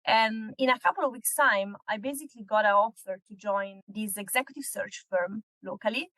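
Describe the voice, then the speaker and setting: A woman, a podcast guest explaining her work in a measured way. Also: mid-range voice, pushed and bright, no echo, rough recording. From northern Italy. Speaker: guest, executive search consultant. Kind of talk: casual interview chat.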